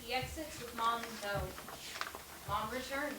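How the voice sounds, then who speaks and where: A woman's voice: a little high, unhurried, background noise. A woman reading stage directions as scripted narration. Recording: echoey, distant, loud music behind.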